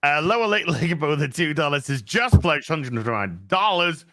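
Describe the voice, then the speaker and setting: Male stream host, bright tone, rambling chat, reads donations. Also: a little high, animated, fairly quick. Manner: rambling, frequent restarts. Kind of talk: casual livestream chat, reading donations.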